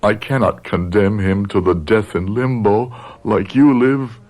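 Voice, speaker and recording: An actor playing a grave, dying older professor, narrating a radio drama in solemn, measured, haunted phrases. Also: male voice, lowish voice, fairly even intonation, a little mellow, lightly acted. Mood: resolute.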